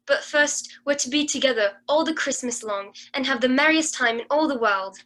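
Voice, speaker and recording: A woman's voice: sits high, moderate pace. A woman playing an eager, affectionate young girl in a radio play, gushing and earnest. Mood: earnest, hopeful. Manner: gushing, earnest, repeating words excitedly.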